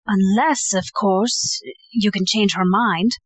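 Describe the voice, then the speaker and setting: A woman's voice: medium pitch, steady tone, slow, slightly rough, no room sound. A woman playing a poised, unflappable society lady in an audio play, genteel and wry. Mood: wry, unruffled.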